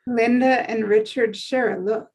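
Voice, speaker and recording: A woman's voice: a little high, steady tone, measured pace, a little mellow, rough recording. A woman reading screenplay stage directions as scripted narration.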